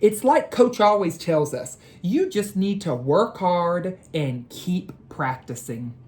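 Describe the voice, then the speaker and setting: A woman's voice: fairly low pitch, animated, takes it slowly, a little mellow. A woman reading a storybook, voicing a frank, encouraging young schoolboy talking to his friend. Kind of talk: storybook read-aloud character voice.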